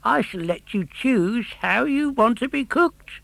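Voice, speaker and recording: A man's voice: very high voice, expressive, measured pace, creaky, fairly bright tone, dry recording, pitched above the performer's own voice, full character voice. A greedy, hot-tempered fisherman out of a children's story, performed by a man. He exclaims in a raised, wildly swooping voice and savours his words as he gloats. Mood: mock courteous. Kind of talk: audiobook character voice.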